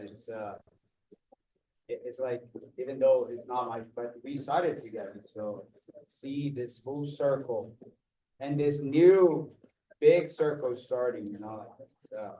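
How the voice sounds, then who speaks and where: A man speaking haltingly about an old training partner, searching for his words. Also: mid-range voice, warm tone. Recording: echoey gym from far off.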